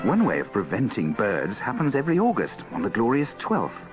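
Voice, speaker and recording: A man's voice: medium pitch, wildly swooping pitch, moderate pace, over music. A man giving wry, scripted narration for a comedy compilation in a dry, tongue-in-cheek manner. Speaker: narrator of a radio bloopers compilation.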